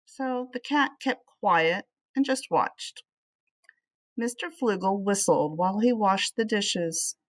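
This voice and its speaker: A middle-aged woman reading a children's storybook aloud in an animated way, speaking to young listeners as a teacher would. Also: medium pitch, slow pace. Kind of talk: expressive children's storybook read-aloud with asides.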